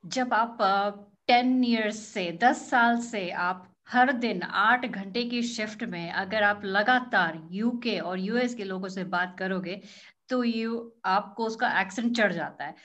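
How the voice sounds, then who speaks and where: A woman's voice: mid-range voice, slow pace, a bit bright. A woman in her thirties answering interview questions, switching freely between Hindi and English with a slightly British-tinged accent. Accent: Indian English with a British tinge. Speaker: interview guest, business analyst.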